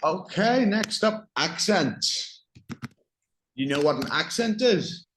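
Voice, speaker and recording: Slow, patient Northern Irish man. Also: sits high, lively intonation, fairly bright tone, a little hiss or hum. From Northern Ireland. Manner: patient, explains slowly with examples and questions. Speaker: English teacher. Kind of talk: one-on-one English lesson.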